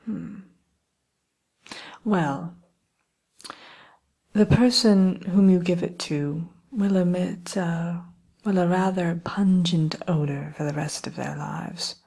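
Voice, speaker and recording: A woman playing a sly, genteel evil queen shopkeeper in a soft, breathy, often whispered ASMR role play. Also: lowish voice, full character voice. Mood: gloating, confiding. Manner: unhurried, genteel, slyly menacing.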